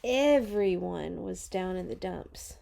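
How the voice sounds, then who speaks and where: A woman reading a children's story aloud with dramatic, deliberate phrasing and chatty, playful asides. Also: fairly low pitch, expressive, creaky, dark tone. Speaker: read-along storyteller for children. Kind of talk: expressive picture-book read-aloud with chatty asides.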